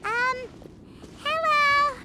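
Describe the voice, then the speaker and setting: A woman voicing a small, childlike female fairy guide in a video game, chirpy, eager and talkative, with a squeaky voice. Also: very slow, very noisy, full character voice. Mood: shy, tentative. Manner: perky, speaks of herself in third person. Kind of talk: video game character dialogue. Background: game background music.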